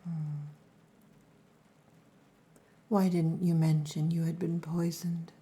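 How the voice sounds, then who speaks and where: Woman playing a slow, low, whispering possessive orc woman. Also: little pitch movement. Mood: grumpy, probing. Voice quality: breathy, hushed, often whispering. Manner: slow, deliberate, dominant, teasing. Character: possessive, gruff yet tender orc chieftain's daughter. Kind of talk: ASMR roleplay, whispered and softly spoken.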